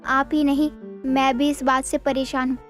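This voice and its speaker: Lady doing a worried village wife in a cartoon story, high voice, respectful, music behind. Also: level tone, normal speed, natural voice. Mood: troubled. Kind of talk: animated Hindi story dialogue.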